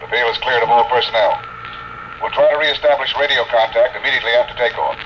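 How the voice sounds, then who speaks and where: An actor playing a brisk, businesslike colonel at ground control in an old-time radio drama. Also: male voice, mid-range voice, natural voice. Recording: noisy vintage radio, transmission sound.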